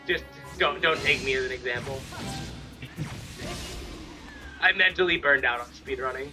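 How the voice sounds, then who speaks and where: A man's voice: mid-range voice, measured pace, music in the background. A man doing casual speedrun commentary with dry, teasing quips, talking into a desk mic. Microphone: desk mic, a bit farther than forearm's length.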